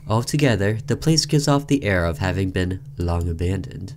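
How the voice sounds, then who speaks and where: A low-pitched man hosts a game playthrough, reading the story text aloud. His delivery is deliberate and dramatic, loosened by casual asides. Some background noise sits under the voice. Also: expressive, a little mellow. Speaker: gaming video host. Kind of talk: reading visual novel narration aloud with casual commentary.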